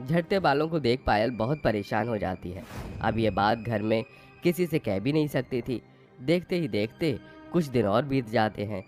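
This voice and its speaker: A man's voice: medium pitch, lively intonation, normal speed, a little mellow. A man narrating a scripted moral tale as its storyteller. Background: faint music.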